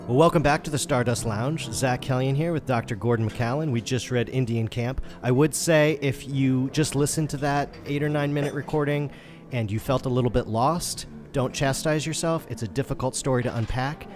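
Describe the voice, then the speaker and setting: A man's voice: mid-range voice, normal speed, darkish tone, soft background music. A man hosting a podcast, talking conversationally about literature. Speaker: podcast host.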